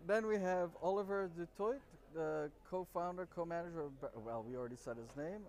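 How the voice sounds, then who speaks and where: A man in his forties with a slightly high voice co-hosts a loose, jokey chat. He trips over names as he reads from a prompter. He is recorded close to a camera set on a table in an open-air bar courtyard. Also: brisk, a little mellow. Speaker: podcast co-host. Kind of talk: casual chat reading from a prompter. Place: outdoor courtyard of a city bar.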